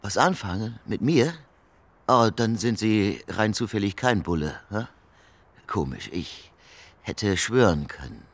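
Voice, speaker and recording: An actor playing a talkative, sly homeless beggar in a radio crime drama, speaking colloquially and theatrically. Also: male voice, on the low side, big pitch swings, measured pace, exaggerated voice. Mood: cheeky banter.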